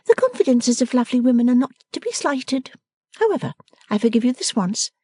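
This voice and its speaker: A woman's voice: sits high, sing-song, brisk, mellow, slightly rough, no room sound, studio quality, pitched above the performer's own voice, heavily acted. A woman reader voicing a playful, teasing young woman who talks in an emphatic, theatrical way.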